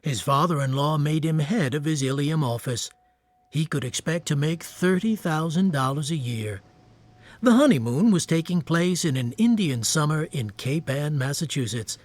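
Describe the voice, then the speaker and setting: A man narrating a radio drama as a storyteller, in a terse, matter-of-fact way over soft music. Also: on the high side, expressive, moderate pace. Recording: faint music behind narration.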